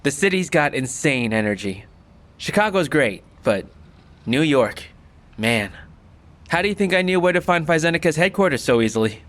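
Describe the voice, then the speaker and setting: A man's voice: a little high, expressive, normal speed, a bit bright. A man playing an easygoing, encouraging young friend in a naturalistic audio drama. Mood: upbeat, encouraging.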